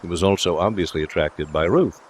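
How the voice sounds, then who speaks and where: Low-pitched male audiobook narrator. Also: brisk, a little hiss or hum. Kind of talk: scripted literary narration.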